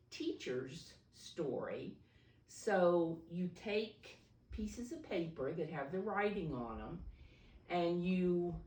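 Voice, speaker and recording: Low-voiced woman, slow storytime teacher. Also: darkish tone. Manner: simple, deliberate explaining, refers to herself in third person. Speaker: preschool teacher reading a story aloud. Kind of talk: read-aloud storytime for preschoolers. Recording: roomy echo, distant microphone.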